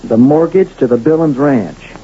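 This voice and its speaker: An actor playing a stubborn, defiant Western gambler betting everything for a ranch, gravelly, in 1970s radio drama style. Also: male voice, medium pitch, expressive, normal speed, dark tone. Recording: dull, noisy 1970s radio recording.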